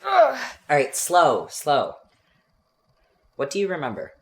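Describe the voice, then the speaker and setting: Actress as blunt, protective confidante, very deep female voice with big swings in pitch, teasing audio drama delivery. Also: wildly swooping pitch, takes it slowly, slight background noise, exaggerated voice. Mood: teasing, wary.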